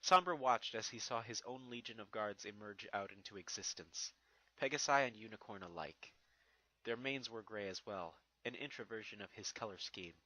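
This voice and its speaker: A man's voice: lowish voice, moderate pace, sharp tone, dry recording, low-quality recording. A man giving a dramatic scripted narration of a fantasy fan fiction story.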